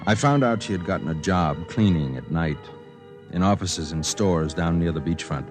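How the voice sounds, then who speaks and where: A wry newspaperman with a deep voice, telling his story in terse, matter-of-fact phrases. It has the style of 1950s radio drama. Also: expressive, normal speed, loud music behind the voice. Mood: low-key, wry. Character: wry, hard-working male newspaper reporter narrating his story. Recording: vintage 1950s radio broadcast.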